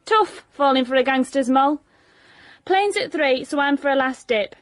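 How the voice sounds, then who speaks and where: High-voiced, cheeky Yorkshire assistant. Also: female voice. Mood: teasing. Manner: teasing, brisk, deadpan. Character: cheeky, no-nonsense Yorkshire woman, detective's sharp-tongued assistant. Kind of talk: comic radio drama acting.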